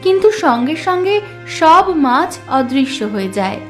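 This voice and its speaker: A woman's voice: high voice, sing-song, moderate pace, a bit bright, soft background music. A woman narrating a scripted moral story for children.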